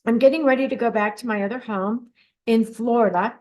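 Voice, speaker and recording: A woman's voice: on the high side, brisk, darkish tone, low-quality recording. An older woman hosting a radio show alone, talking in a chatty, encouraging way with frequent restarts. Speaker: host of a dream radio show. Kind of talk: casual solo radio talk.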